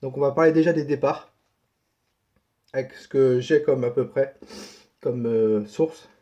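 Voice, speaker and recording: A man hosts a casual football news update in a mellow, relaxed voice. He sounds like he has a cold and talks colloquially, often repeating a point to drive it home. The recording is dull and lo-fi. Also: mid-range voice, unhurried. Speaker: host of a football transfer news channel.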